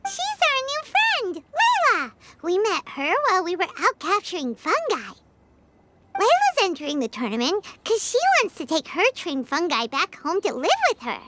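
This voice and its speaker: A woman voicing a tiny, excitable floating companion girl who talks in a bright, squeaky way in a video game. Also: normal speed, noisy background, exaggerated voice. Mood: eager.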